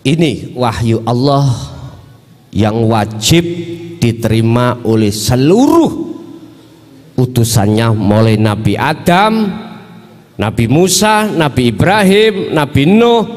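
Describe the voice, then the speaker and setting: A man's voice: medium pitch, sing-song, takes it slowly, pauses often, darkish tone. A man with a Javanese accent preaching an Islamic lecture in a didactic way, putting rhetorical questions to his listeners. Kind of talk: religious lecture mixing teaching and colloquial asides. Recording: hall with long reverb.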